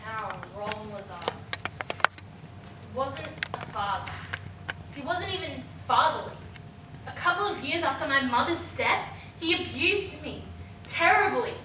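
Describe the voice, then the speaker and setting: An anguished young woman, acted by a woman in a stage monologue, speaks slowly in a high voice with heavy dramatic pauses. Her theatrical delivery is heard from far off in a very echoey, noisy room. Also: animated. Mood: pained recollection. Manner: halting, dramatic pauses, swinging from hushed to screamed.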